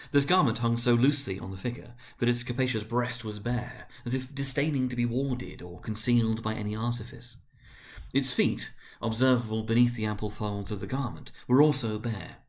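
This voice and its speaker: A male narrator with a mid-pitched voice gives a dramatic reading of classic literature. His phrasing is theatrical and deliberate, shaped by emphatic swells and softer drops. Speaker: narrator, story reader.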